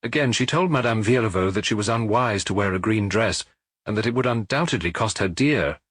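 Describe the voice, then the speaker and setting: A man reading as a husky, matter-of-fact police officer. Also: on the low side, lively intonation, fairly bright tone, no room sound. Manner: measured, matter-of-fact reporting. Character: middle-aged male police officer giving a report. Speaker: audiobook reader. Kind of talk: audiobook dialogue reading.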